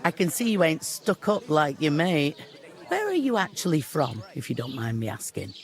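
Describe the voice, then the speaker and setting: A rustic villager from a medieval fantasy game. His voice sits a little high, and he talks in a folksy, colloquial way. He comes across as a good-natured local gossip. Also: huge pitch swings, normal speed. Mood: friendly gossip. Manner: folksy, colloquial rural speech. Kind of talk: video game dialogue.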